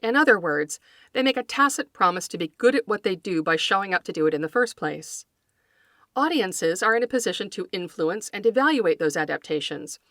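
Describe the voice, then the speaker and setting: A woman podcast host reading scripted educational narration in a measured, articulate, scholarly manner, close to the microphone. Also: medium pitch, a bit bright, no echo. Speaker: podcast host, folklore scholar and fiction writer.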